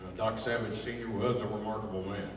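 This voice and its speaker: A man's voice: fairly low pitch, fairly even intonation, brisk, natural voice. A man playing a sturdy, loyal, plain-spoken engineer in a 1930s-style radio adventure serial. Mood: sombre, respectful. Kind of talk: 1930s radio drama acting. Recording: echoey hall from far off, clear noise.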